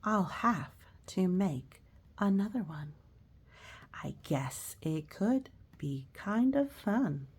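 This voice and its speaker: A woman voicing a naughty young girl from a rhyming storybook, guilty yet eager, in a drawn-out, deliberate verse delivery. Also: mid-range voice, lively intonation, slightly rough, heavily acted. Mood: sheepish, hopeful. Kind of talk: rhyming storybook read aloud.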